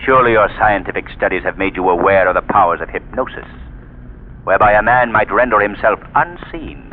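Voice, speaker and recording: An actor playing a mysterious, commanding male avenger in a 1930s radio drama, speaking in a stern, deliberate manner. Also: fairly low pitch, lightly acted. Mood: ominous. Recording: tinny old 1930s radio recording with music.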